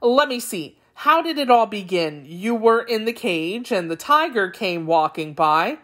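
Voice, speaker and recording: A woman's voice: medium pitch, big pitch swings, unhurried, a bit bright, pitched above the performer's own voice. A woman voicing a sly storybook jackal who politely dithers and feigns bewilderment. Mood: flustered, muddled. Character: sly jackal feigning befuddled, fretful confusion. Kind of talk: storybook character voice.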